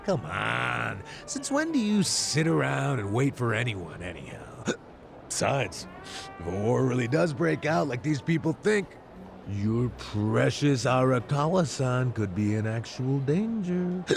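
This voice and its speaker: A gravelly, blunt tough guy. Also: mid-range voice, sing-song, a little slow, pauses often, music in the background. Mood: goading. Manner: brusque, needling. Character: gruff, blunt, goading tough man. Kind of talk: video game cutscene acting.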